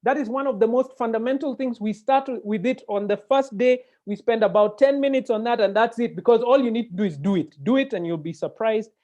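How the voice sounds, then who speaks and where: A man with a Kenyan accent moderating a webinar conversationally, animated and given to digressions and interjections. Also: very high voice, a little fast, hardly any pauses. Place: indoor room, video call. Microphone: earphone mic on video call. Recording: dull, lo-fi video-call audio.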